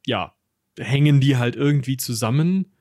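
A man's voice: mid-range voice, moderate pace, a little mellow, dry recording, studio quality. A man discussing history as a podcast co-host in a casual, colloquial way, with slangy turns and joking asides.

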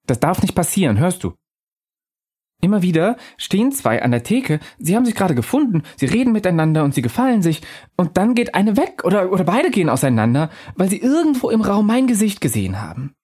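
An elusive female bar ghost, voiced by a male reader, speaking high and soft with earnest insistence, as in a storybook reading. Her tone stays calm and wistful, yet it keeps pressing the listener. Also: a little fast, breathy edge, full character voice. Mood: urgent.

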